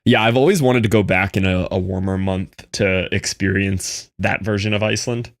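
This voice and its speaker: A chatty young man talking to his stream audience as he plays, answering chat in an easy, rambling way. His voice sits on the low side, and the sound is dry and close with no room around it. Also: steady tone, moderate pace, no room sound. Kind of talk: casual live-stream chat.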